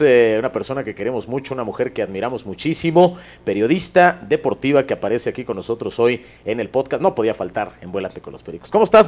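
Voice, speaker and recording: A man's voice: mid-range voice, a little mellow. A middle-aged Mexican man, an effusive and teasing podcast host, chatting casually into a handheld microphone. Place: small indoor room with stone wall.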